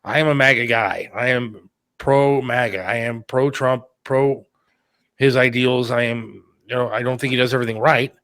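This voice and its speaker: Male talk host, mid-range pitch, informal and plain-spoken, very dry sound. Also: steady tone, moderate pace, fairly bright tone, no room sound. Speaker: radio producer and talk show host. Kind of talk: casual chat about an upcoming radio show.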